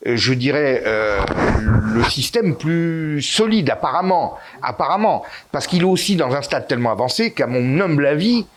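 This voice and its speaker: Hesitant, animated Italian-born man. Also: on the high side, normal speed, few pauses, slight background noise. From Italy. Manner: hesitant, frequent fillers and restarts, emphatic rises. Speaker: interview guest. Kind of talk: political interview conversation.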